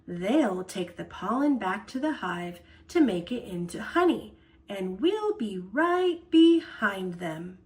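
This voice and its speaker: A woman voicing a jolly, excitable old grandfather in a picture-book read-aloud, chirpy and eager. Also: mid-range voice, takes it slowly. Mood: warm eagerness.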